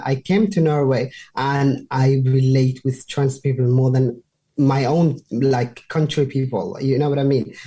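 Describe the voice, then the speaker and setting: A woman in her twenties from Somalia, speaking English as an in a relaxed interview, unhurried and searching for words at times. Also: sits low. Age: 20s. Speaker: guest. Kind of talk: casual interview talk.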